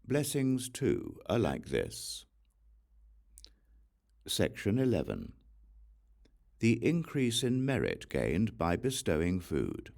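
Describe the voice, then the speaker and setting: A man reading scripture as an audiobook narrator, in a measured, deliberate and solemn delivery. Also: on the low side, wildly swooping pitch, creaky, darkish tone. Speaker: audiobook reader.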